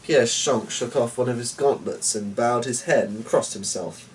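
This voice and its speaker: A man's voice: mid-range voice, moderate pace. A man giving a dramatic narration of a storybook as its reader. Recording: distant mic with noticeable background noise.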